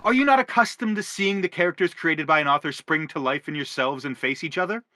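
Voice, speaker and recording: A man's voice: a little high, fast, sharp tone, no room sound, clean studio sound. An actor playing an earnest, courteous father character in a radio stage play, speaking formally and with pleading eloquence. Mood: impassioned.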